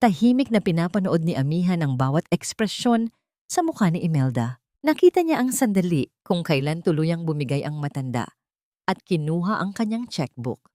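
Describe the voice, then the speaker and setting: A woman's voice: lowish voice, very animated, normal speed, warm tone, no echo, clean studio sound. A woman narrating a scripted dramatic story in an audiobook style.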